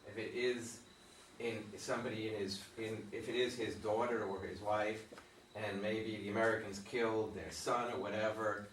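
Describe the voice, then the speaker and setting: A man's voice: fairly low pitch, flat delivery, normal speed, a little hiss or hum. A man in a theatre discussion making an emphatic suggestion about the drama. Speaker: audience member giving feedback. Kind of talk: workshop discussion. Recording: echoey room, distant mic.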